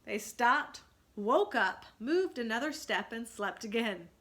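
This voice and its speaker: A woman's voice: a little high, lively intonation, takes it slowly, fairly bright tone. A woman reading a children's story aloud as a teacher, narrating expressively and asking explanatory questions.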